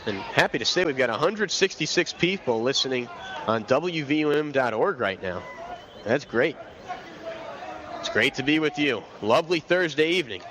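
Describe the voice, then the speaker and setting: A man's voice: mid-range voice, expressive, moderate pace, crowd noise. A young man calling live baseball play-by-play for radio, switching between clipped recaps of the count and flowing bits of detail. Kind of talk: live radio sports commentary. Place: outdoor baseball stadium broadcast booth. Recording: live outdoor broadcast with loud ballpark noise. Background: loud stadium ambience.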